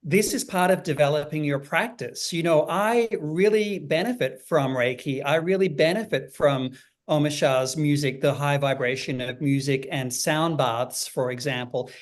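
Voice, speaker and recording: Thoughtful man with a higher voice. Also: moderate pace. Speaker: panelist.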